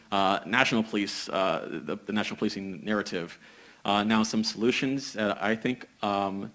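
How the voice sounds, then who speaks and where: A young man from a business school speaking on a panel, measured, with many "uh" hesitations. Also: fairly low pitch. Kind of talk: panel discussion.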